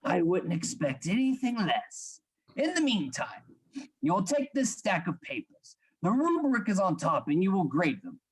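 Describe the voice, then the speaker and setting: An actor playing a stern, secretive anatomy lecturer in a radio play, formal and instructing. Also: male voice, high-pitched, sing-song, measured pace, slightly rough, heavily acted, rough recording. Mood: grave, secretive.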